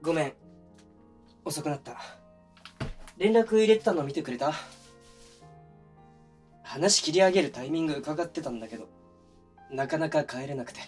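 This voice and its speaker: A man's voice: on the high side, moderate pace, pauses often, darkish tone, close to an everyday voice. Man acting casual young boyfriend over music. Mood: apologetic. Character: young man, considerate to others, obliviously neglectful boyfriend. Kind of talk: intimate situation-voice audio drama, naturalistic one-sided dialogue.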